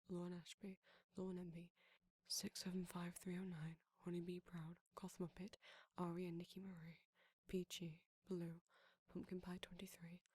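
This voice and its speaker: A woman speaking in a soft, breathy whisper, reading out ASMR outro credits in a gentle, measured way. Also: lowish voice. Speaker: audio roleplay creator reading credits.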